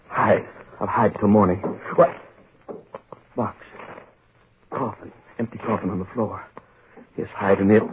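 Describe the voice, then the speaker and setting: An actor playing a cowardly, guilty newspaper publisher, blurting agitated broken phrases in 1940s radio horror style. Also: male voice, lowish voice. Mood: frightened muttering. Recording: noisy narrow 1940s radio recording.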